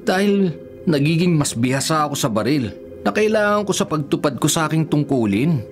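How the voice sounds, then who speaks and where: A man's voice: fairly high pitch, expressive, a little fast, soft background music, lightly acted. An actor playing a cocky, stubborn, hard-drinking policeman in a Filipino radio drama, boastful and flippant. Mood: defensive, casual.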